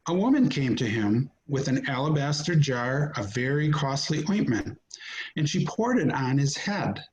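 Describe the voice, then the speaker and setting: Higher-pitched male scripture narrator. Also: low-quality recording. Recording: home video-call recording.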